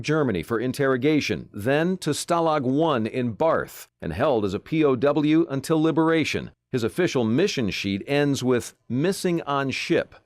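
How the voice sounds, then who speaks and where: A man's voice: medium pitch, dry recording, studio quality. An American man reporting for television news, reading a scripted feature narration.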